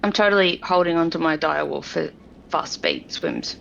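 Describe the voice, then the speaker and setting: A woman with a lowish voice full of vocal fry chats casually as she plays a tabletop game. The recording is dull and noisy. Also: huge pitch swings, normal speed, a bit bright.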